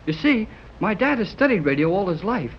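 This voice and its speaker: An actor playing an excited young radio enthusiast in a 1930s radio serial, full of gee-whiz slang, from an old recording. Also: male voice, sits high, brisk, lo-fi sound, slight background noise. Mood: eager. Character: eager young male radio enthusiast, wide-eyed.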